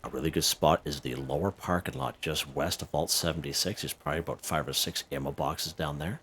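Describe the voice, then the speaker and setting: Very deep-voiced man, chatty game guide. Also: fairly even intonation, fairly quick, a bit bright, a little hiss or hum. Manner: chatty, checks in with "okay?" and "right?". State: slightly hoarse. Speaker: gaming video host. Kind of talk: casual game walkthrough.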